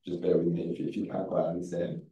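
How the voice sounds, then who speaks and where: An Australian man from Western Australia giving a seminar presentation in a low, mumbly voice, relaxed and full of ums. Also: fast talker. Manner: relaxed, many ums and restarts. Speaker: guest presenter. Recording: echoey room, distant from the mic.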